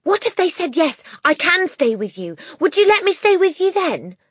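A woman voicing an excitable, headstrong little girl who pleads in urgent, breathless bursts, in a storybook reading. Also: very high-pitched, a little slow, no echo, clean studio sound, pitched above the performer's own voice, exaggerated voice. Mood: eager, pleading.

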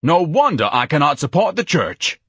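A man's voice: medium pitch, big pitch swings, normal speed, a bit bright, slightly rough, no echo, clean studio sound, pitched above the performer's own voice. A man voicing a haughty, self-pitying grown son who declaims in grandiose, over-articulate phrases, as an audiobook character. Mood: indignant, scornful.

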